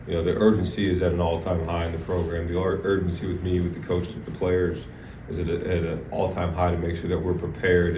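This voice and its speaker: A man, a football coach, answering press questions in a casual, rambling way, with many restarts and fillers. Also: deep voice. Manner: casual, rambling, full of "you know" and restarts. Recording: distant, echoey, noisy press room.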